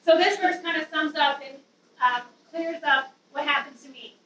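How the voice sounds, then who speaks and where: Woman, high bright voice, loud, nervous ums, roomy hall, distant mic. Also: normal speed. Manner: nervous, chatty, many ums. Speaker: guest speaker giving a testimony. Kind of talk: personal testimony to a youth group. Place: dim church youth room with an audience. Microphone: handheld mic, far camera.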